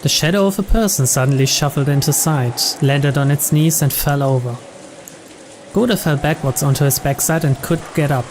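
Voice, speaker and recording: A man's voice: a little high, normal speed, a little mellow, a little hiss or hum. A man reading the text of a visual novel aloud as scripted narration. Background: game music.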